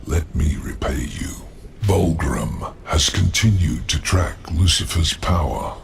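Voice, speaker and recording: Game villain: sly demon lord, very low and gravelly, formal, measured, calculating menace. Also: male voice, expressive, slight background noise, full character voice. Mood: sly, gloating. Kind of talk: dramatic video game cutscene acting.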